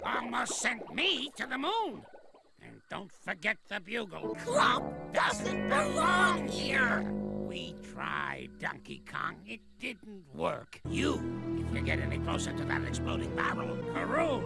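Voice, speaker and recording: A grouchy old ape from a cartoon, barking sarcastic insults at everyone around him. His voice is gravelly and full of creak, and it leaps all over the place in pitch. Music plays loudly behind him. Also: wildly swooping pitch, slow pace, exaggerated voice. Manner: scolding, sarcastic, barks insults. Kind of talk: cartoon voice acting.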